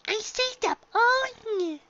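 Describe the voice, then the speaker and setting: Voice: extremely high pitch, expressive, slow pace, dull audio, background noise, pitched above the performer's own voice, exaggerated voice. A narrator voicing a sweet, earnest little filly unicorn daughter with a childlike, eager way of rambling in a storybook reading. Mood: earnest, proud.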